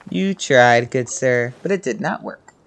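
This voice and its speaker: A man's voice: medium pitch, expressive, a little slow, creaky. A man giving casual, reactive commentary while playing a video game. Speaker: gamer recording a playthrough. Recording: commentary over game music.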